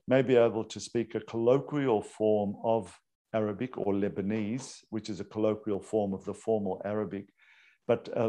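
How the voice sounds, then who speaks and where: A priest, explaining unscripted with hesitant fillers and restarts. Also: male voice, on the low side, dark tone. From Australia.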